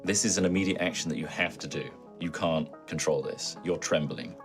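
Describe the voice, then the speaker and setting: A man's voice: very deep voice, brisk. A man narrating a tabletop roleplaying game as game master, conversational with theatrical emphasis when he describes scenes. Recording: background music under the voice.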